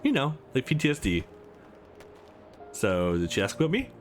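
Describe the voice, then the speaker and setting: A man's voice: mid-range voice, wildly swooping pitch, normal speed, slight background noise. A man voicing a laid-back, crude gamer brother from a visual novel, slangy and joking. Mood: cheeky.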